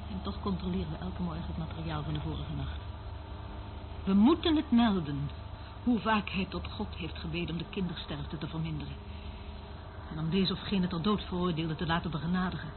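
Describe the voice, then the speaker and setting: An actress playing a weary, cynical surveillance worker in a radio play, speaking in a dry, dismissive way. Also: female voice, on the low side, fairly quick, natural voice. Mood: dry, mocking. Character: weary, cynical woman working as surveillance eavesdropper. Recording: noisy, tinny band-limited old radio recording.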